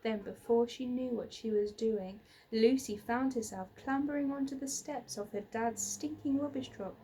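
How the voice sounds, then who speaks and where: A woman in her thirties narrating a children's story expressively, with dramatic, deliberate emphasis. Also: fairly high pitch, warm tone, a little hiss or hum, poor audio. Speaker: teacher reading a children's book aloud. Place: small plain indoor room.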